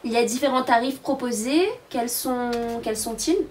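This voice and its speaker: Woman interviewer asking questions, fairly high voice, faint noise behind her. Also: normal speed, a little mellow, recorded from a distance.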